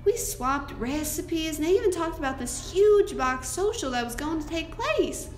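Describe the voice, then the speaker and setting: A woman's voice: very high voice, animated, moderate pace. A young woman playing an earnest, hopeful thirteen-year-old frontier girl in a recited storytelling monologue. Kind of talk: scripted first-person monologue. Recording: roomy, echoing amateur recording.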